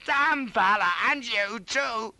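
Shrill, panicking cavalryman in agony. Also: takes it slowly, creaky, pushed and bright, no room sound, exaggerated voice. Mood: pleading, furious. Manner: shrill, whining, blustering, babbling. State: crying out in pain. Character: panicking, self-pitying British cavalryman, pinned and cursing.

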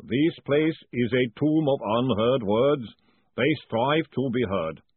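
A man voicing a huge, calm clay golem with a booming, ponderous, rumbling delivery in an audiobook story. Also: husky, no room sound. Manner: slow, ponderous, infuriatingly calm. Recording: muffled phone-like audio.

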